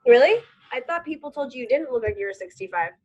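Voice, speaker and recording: Giggly woman with a breathy voice. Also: sits high, expressive, quick pace, a little mellow, lo-fi sound. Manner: giggly, teasing. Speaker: podcast co-host. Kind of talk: casual chat.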